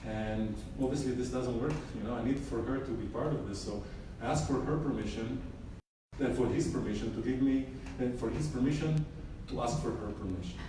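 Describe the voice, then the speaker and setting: A middle-aged photographer from Israel talks through his portraits in a loose, joking way, full of ums and asides. He is caught from a distance in a very echoey room with clear background noise. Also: mid-range voice, a little fast. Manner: casual storytelling with frequent fillers and wry humor. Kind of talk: artist talk about his photographs. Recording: echoey room, mic far off, noisy.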